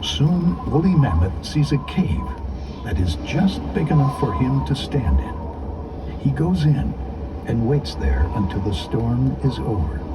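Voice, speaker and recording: A man narrating a children's storybook in an expressive, deliberate, scripted storytelling delivery. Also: mid-range voice, heavy background noise. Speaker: audiobook narrator.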